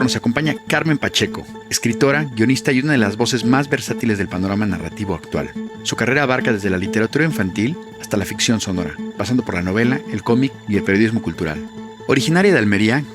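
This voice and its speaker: A man hosting a podcast, reading a scripted introduction in a measured, announcer-like way before interviewing his guest. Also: lowish voice, lively intonation, over music. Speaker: podcast host.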